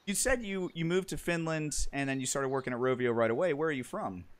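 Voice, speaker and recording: A man's voice: fairly high pitch, lively intonation, fairly quick, a crowd in the background. A man hosting a livestream, interviewing a guest and then calling players into custom matches in an upbeat, directive way. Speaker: stream host.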